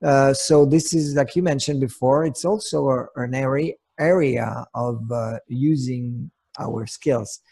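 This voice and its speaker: A man with a Swiss accent speaks in a creaky, husky voice at an average pitch. He presents conversationally as a coaching co-host, picking his words haltingly. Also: warm tone. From Switzerland. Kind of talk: semi-prepared conversational presentation.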